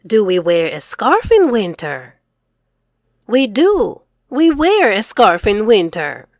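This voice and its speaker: A middle-aged woman reading aloud to children as an English teacher, enunciating each phrase clearly. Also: medium pitch, sing-song, slow pace, darkish tone, no echo, studio quality. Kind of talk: children's read-aloud lesson.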